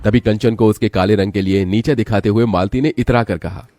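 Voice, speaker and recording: Low, mellow male storyteller. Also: steady tone, fairly quick. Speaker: narrator. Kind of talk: scripted story narration.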